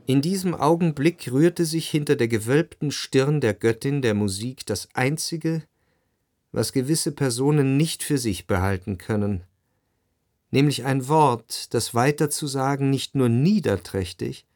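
Contemplative literary narrator, actor, male, medium pitch, analytical and articulate, radio drama storytelling. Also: measured pace, a little mellow, close to an everyday voice. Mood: reflective.

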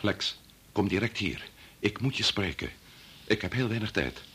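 A man's voice: lowish voice, animated, moderate pace, sharp tone, slight background noise. An actor playing a middle-aged, preoccupied and domineering doctor and father in a Dutch radio drama, muttering or curt. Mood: urgent, curt. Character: preoccupied, domineering male doctor-scientist, father of a grown son.

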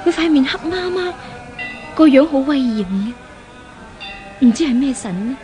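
A world-weary, guilt-laden young woman in a 1970s radio play. The voice is high and sorrowful, delivered in a melodramatic period style. Music sits faintly behind it. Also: lively intonation, normal speed. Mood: doubtful, wondering. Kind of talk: 1970s radio drama acting.

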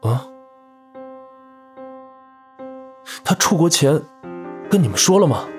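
A man playing a sincere, easily flustered young man in love in an audio drama, soft and hesitant. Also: a little high, sing-song, normal speed, big-room sound, over music.

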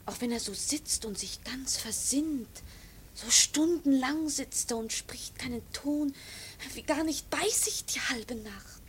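An actress playing a timid, agitated young woman in a radio drama, speaking earnestly with a breathy, hesitant manner. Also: high-pitched, slow, slight background noise. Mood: anxious. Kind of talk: German radio drama acting.

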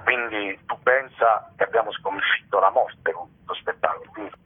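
A man's voice: fairly low pitch, moderate pace, slightly rough. A male comedian chatting as a radio interview guest in a rambling, tongue-in-cheek, mock-solemn manner. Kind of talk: casual radio interview chat. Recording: muffled telephone line.

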